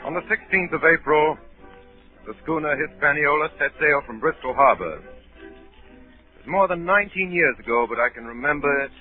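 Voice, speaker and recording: A man's voice: a little high, animated, normal speed, soft background music, lightly acted. A man playing a grown adventurer solemnly recalling his boyhood, as narrator of a 1930s radio drama. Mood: solemn reminiscence. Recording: narrow-band 1938 radio broadcast.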